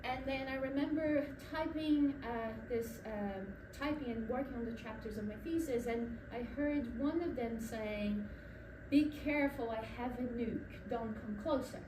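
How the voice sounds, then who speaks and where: A woman's voice: a little high, unhurried. Brazilian woman presenting in an echoey hall. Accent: Brazilian with a New Zealand tinge. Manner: storytelling, frequent ums and restarts. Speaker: academic speaker. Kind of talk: conference presentation. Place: lecture room. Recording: echoey room, distant mic, background noise.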